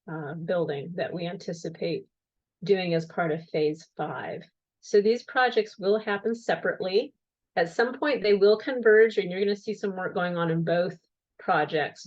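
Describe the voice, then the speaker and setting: A woman walking an audience through building plans and timelines in a slide presentation on a video call, in a measured, explanatory way with occasional fillers. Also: lowish voice, a little mellow. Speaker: county project presenter. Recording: dull lo-fi call audio.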